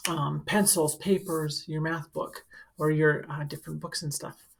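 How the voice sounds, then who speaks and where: Woman with creaky voice reading to kids. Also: low voice, steady tone, measured pace. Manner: simple, instructive phrasing aimed at young children. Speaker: school counselor reading to students. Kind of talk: children's picture book read-aloud with casual explanatory asides.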